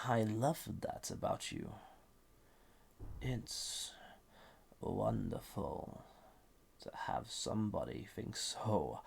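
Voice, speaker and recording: Deep gravelly, flirtatious banished villain. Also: male voice, exaggerated voice. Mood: tender, flirty. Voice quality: deep, gravelly, husky, breathy. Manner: slow, deliberate, softly condescending, formal. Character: banished male villain, suave, flirty, slyly dangerous. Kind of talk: scripted roleplay audio drama.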